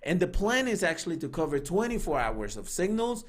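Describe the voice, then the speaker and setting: Fairly high-voiced man hosting a livestream. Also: very animated, a little fast. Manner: loose and conversational, many fillers and restarts. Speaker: trading signals group host. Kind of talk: casual livestream talk reading live chat.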